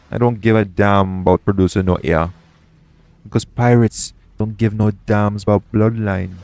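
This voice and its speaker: Man playing a low-voiced, shady pirate captain. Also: a little mellow, smooth. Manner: coaxing, bargaining, roguish dialect grammar. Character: shady, persuasive male pirate captain, once bloodthirsty. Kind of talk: audio roleplay acting, addressing the listener directly.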